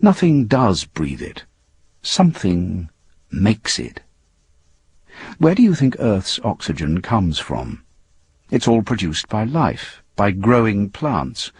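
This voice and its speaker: A man's voice: fairly low pitch, big pitch swings, slow, a little mellow, husky, full character voice. A man voicing a confident, impatient male scientist exploring space, with an assured, explanatory delivery in a story reading.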